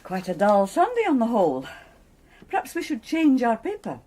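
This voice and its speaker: An actress playing an affectionate, teasing, well-bred middle-aged wife in a radio drama. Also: female voice, fairly high pitch, huge pitch swings, normal speed. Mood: probing, light. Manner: gently teasing, coaxing. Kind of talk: naturalistic British radio drama acting.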